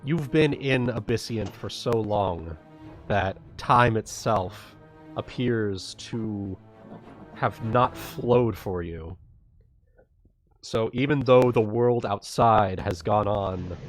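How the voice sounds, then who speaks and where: A man narrating a tabletop fantasy game as game master, deliberate and dramatic. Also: lowish voice, animated. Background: music.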